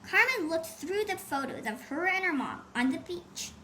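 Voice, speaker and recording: A woman's voice: very high voice, sing-song, normal speed, sharp tone. A woman reading a scripted children's adventure story as an audiobook narrator. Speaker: audiobook author and reader. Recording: faint background noise.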